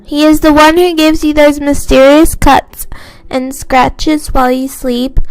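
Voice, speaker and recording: A woman's voice: sits high, darkish tone, no room sound, low-quality recording. A woman reading a scripted first-person horror story as narrator. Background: faint music.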